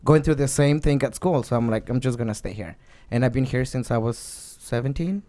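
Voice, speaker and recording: A man's voice: medium pitch, brisk, dark tone. A male guest from Mexico telling his story in a chatty, rambling way. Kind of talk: casual chat.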